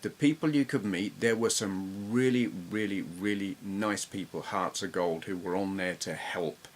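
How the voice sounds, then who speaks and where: A middle-aged man with an English accent giving casual, reflective, slightly halting commentary as he reminisces. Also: sits low, a little hiss or hum. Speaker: retro gaming YouTuber.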